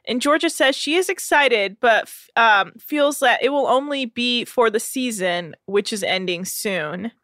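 A woman's voice: on the high side, lively intonation, unhurried, few pauses, fairly bright tone, no echo. A woman co-hosting a casual podcast, recapping a reality show with sarcastic, dry asides. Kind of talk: casual chat.

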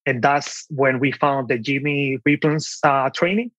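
A man's voice: medium pitch, measured pace, fairly bright tone, muffled recording. A young man from the Dominican Republic with a Dominican Spanish accent, telling his story casually as an interview guest. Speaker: podcast guest, online seller.

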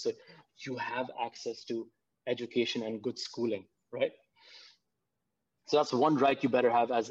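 A man's voice: mid-range voice, a little mellow, husky, lo-fi sound. A man from Pakistan, a guest in a casual conversation, talking earnestly and often repeating words as he gathers his thoughts. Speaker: podcast guest.

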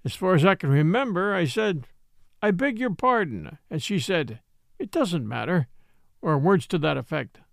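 A woman as a sardonic, clipped English gentleman. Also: lowish voice, expressive, moderate pace, creaky, no room sound. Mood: mocking. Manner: clipped, dry, mocking. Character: bitter, sardonic English gentleman, charming reckless spendthrift.

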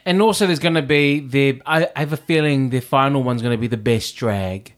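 A man whose first language is Samoan, co-hosting a podcast in an animated, jokey way. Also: medium pitch, a little fast. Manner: animated, jokey, breaks into song and playful voices. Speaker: podcast co-host. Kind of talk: casual chat.

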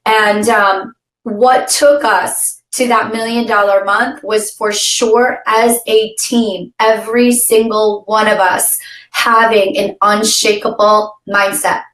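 A woman's voice: medium pitch, little pitch movement, slow, fairly bright tone, echoey room. A woman co-hosting a business podcast, with a scratchy voice today and an upbeat, laughing manner. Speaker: podcast co-host.

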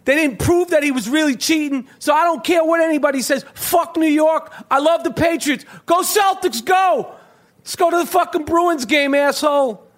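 A man's voice: very high voice, moderate pace, pitched above the performer's own voice. A man putting on a brash, cursing Boston sports fan with a Boston accent. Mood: rowdy. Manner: crude, shouting, cursing.

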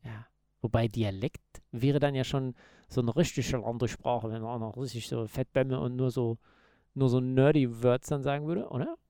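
Gravelly, mellow-voiced man chatting casually. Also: medium pitch, steady tone, normal speed, dry recording, clean studio sound. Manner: loose, joking banter. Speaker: podcast co-host. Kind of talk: casual chat.

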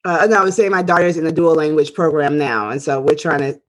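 A woman's voice: sits low, fast talker. A woman from Ghana who works as a counselor and speaker, talking as a guest in a chatty, enthusiastic way. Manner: chatty, enthusiastic, laughs easily. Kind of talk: casual interview chat.

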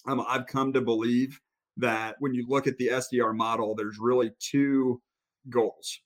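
A man's voice: mid-range voice, level tone, a little slow. A man hosting a podcast, laying out his views in a thoughtful, conversational way. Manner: thoughtful, lays out opinions at length. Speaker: podcast host. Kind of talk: conversational interview.

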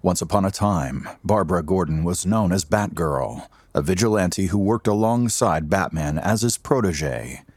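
An actor as the narrator of an audio drama, a husky man delivering solemn, dramatic scripted narration. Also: deep voice, expressive, moderate pace, hardly any pauses, a little mellow, no room sound. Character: solemn, dramatic male storyteller of superhero adventure.

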